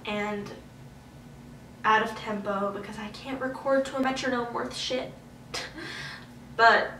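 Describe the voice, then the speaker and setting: A woman's voice: a little high, fairly bright tone, a little hiss or hum. Woman vlogger, candid and emotional, a little far from the mic. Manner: emotional, candid, swears freely. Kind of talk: candid casual talk to camera. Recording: roomy echo, heard from a distance.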